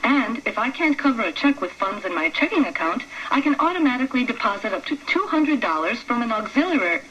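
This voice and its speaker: A woman's voice: fairly high pitch, a bit bright. A woman playing an earnest, cheerful bank customer in a spoof testimonial commercial, sincere and matter-of-fact. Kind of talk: parody testimonial ad read. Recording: dull lo-fi radio broadcast, faint noise.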